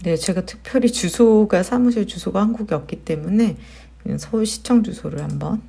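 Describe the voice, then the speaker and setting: A woman hosting a casual step-by-step software tutorial, explaining conversationally and putting questions to her viewers. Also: mid-range voice, fairly quick, gravelly, husky. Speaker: tutorial host, digital marketer.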